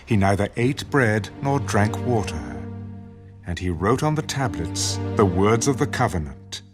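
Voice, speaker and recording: A man narrating dramatized scripture as a scripted reading, in a measured, formal storytelling manner. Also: on the low side, animated, over music.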